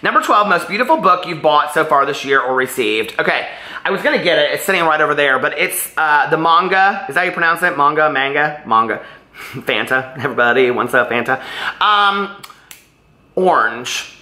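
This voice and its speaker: Man, bright forceful voice, chatty book vlogger, animated, rambling, echoey room. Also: on the high side, normal speed. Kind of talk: casual chatty vlog.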